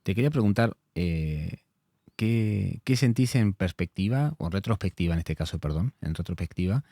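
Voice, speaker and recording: Deep-voiced man hosting a podcast. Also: animated, moderate pace, warm tone, no room sound, clean studio sound. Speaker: podcast host. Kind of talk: conversational interview.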